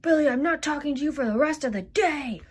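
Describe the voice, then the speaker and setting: A grumpy, touchy puppet turtle from a children's skit, played by a woman. The voice is raspy and fairly high, with a huffy, emphatic way of complaining. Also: expressive, normal speed. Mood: furious.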